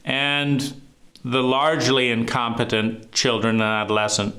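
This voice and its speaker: A man with a deep voice giving a reflective monologue, talking slowly and deliberately with frequent pauses and fillers. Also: level tone, pushed and bright. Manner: slow, deliberate, pausing often with fillers. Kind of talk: reflective monologue on trauma and psychology.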